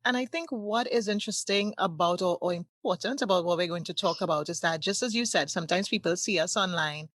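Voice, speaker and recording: A woman's voice: fairly low pitch, fairly quick, hardly any pauses, fairly bright tone, dry recording. A woman hosting a podcast interview, speaking in an encouraging way and affirming her guest often. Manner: encouraging, frequent affirming "yes". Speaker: podcast host. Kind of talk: interview conversation. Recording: dry sound.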